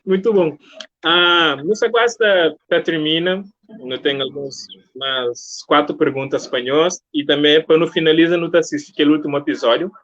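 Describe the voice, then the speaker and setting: Guy hosting a casual live chat interview, voice a bit high, kinda muffled stream audio. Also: steady tone, measured pace, pauses often, fairly bright tone. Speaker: interview host.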